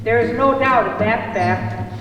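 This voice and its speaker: A performer playing a dramatic cafe proprietor who recounts frontier-era news in deliberate, theatrical puppet-show narration. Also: mid-range voice, over music, natural voice. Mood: dramatic reading. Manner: theatrical, deliberate storytelling delivery. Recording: very echoey hall, distant mic, faint noise.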